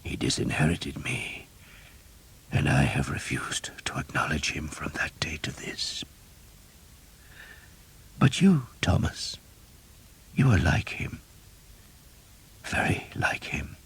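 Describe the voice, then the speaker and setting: A man's voice: a bass voice, big pitch swings, slow pace, few pauses, warm tone, breathy edge, heavily acted. A man voicing a dying older male priest who speaks in a weak, strained whisper. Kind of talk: audiobook character reading.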